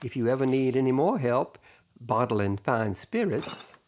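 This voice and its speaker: A man's voice: medium pitch, expressive, measured pace, darkish tone, a little hiss or hum, lightly acted. A man playing an eager, courteous, folksy assistant to a ghost hunter in an audio drama. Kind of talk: audio drama character acting.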